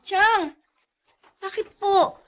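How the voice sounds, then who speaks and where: Very high-voiced meek young wife. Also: female voice, drawn-out words, fairly bright tone, heavily acted. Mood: apologetic. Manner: plaintive, polite, apologetic. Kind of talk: melodramatic radio drama acting.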